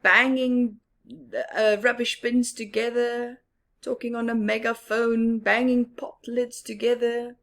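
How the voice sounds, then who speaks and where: A woman reading a picture book aloud to children with expressive, drawn-out emphasis and asides about the story. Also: fairly high pitch. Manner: animated, drawn-out emphasis with whispered words.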